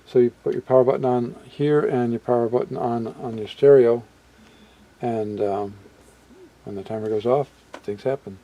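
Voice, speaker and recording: A man presenting a hobby video in a casual, unscripted way, halting as he muses aloud and often trailing off mid-thought. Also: mid-range voice, fairly even intonation, warm tone, lo-fi sound. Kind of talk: casual unscripted product walkthrough.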